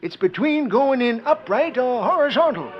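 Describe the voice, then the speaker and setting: High-voiced blustering frontier bounty hunter. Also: male voice, animated, normal speed, over loud music. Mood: scheming. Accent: American. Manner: folksy Western drawl, blustering, scheming. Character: gruff, greedy, threatening frontier bounty hunter. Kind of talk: 1940s radio western acting. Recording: dull lo-fi 1940s radio recording.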